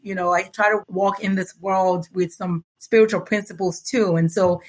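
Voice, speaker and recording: A woman's voice: medium pitch, fairly even intonation. Earnest woman with a light Yoruba-tinged American accent. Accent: American English with a light Yoruba tinge. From Nigeria. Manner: earnest, reflective, laughs easily, slows to stress points. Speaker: guest author. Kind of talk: relaxed podcast interview.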